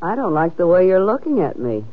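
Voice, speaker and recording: A woman's voice: lowish voice, sing-song, brisk. A slightly dull old radio recording carries a streetwise dame from a 1940s crime serial. She is brassy and defensive. Her delivery is blunt and full of slang. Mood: defensive, sharp. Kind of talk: 1940s radio drama acting.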